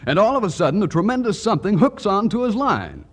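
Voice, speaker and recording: A man's voice: sits high, big pitch swings, fast talker, fairly bright tone. A man announcing on 1940s radio as a folksy, emphatic salesman. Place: radio studio. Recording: vintage 1940s radio broadcast.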